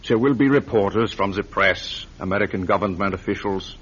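A pompous Nazi major. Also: male voice, lowish voice, fairly quick, fairly bright tone, husky. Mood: commanding. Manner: grand, ceremonious, commanding. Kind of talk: 1940s radio acting. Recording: lo-fi vintage radio recording.

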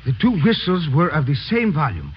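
A man's voice: on the high side, wildly swooping pitch, fairly quick. A man playing a polite, earnest police lieutenant in an old-time radio drama, speaking formally and precisely. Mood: puzzled, attentive. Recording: muffled, noisy old radio recording.

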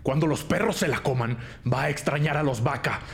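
An actor playing a menacing, taunting crime boss in an audio drama. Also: male voice, medium pitch, fairly quick, a little hiss or hum, close to an everyday voice. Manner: taunting, threatening. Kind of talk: Spanish-language audio drama acting.

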